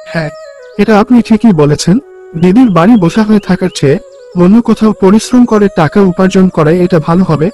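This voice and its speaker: A man's voice: high-pitched, fairly quick, warm tone, soft background music, close to an everyday voice. A man voicing an earnest, devoted young orphan brother in a cartoon story.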